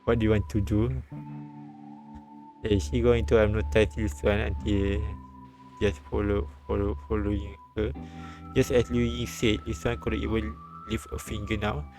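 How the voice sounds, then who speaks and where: A man reading a visual novel aloud on a live stream, with halting restarts and bits of commentary. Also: lowish voice, flat delivery, a little mellow. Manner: halting, repeats and restarts lines. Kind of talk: live read-aloud translation and narration with commentary. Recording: background music behind the voice.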